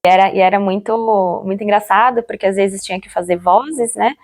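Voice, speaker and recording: A woman's voice: mid-range voice, a little fast, a little mellow. A young woman with a Brazilian accent talking casually and reflectively in an interview, chatty, often repeating words for emphasis. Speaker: former radio drama actress, interviewee.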